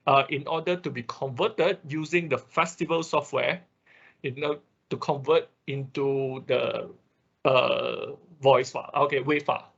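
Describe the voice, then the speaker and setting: A man teaching an informal online software tutorial, explaining step by step in a halting way with frequent check-in tags. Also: mid-range voice, fairly even intonation, creaky, dull audio. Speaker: instructor of an online robotics class.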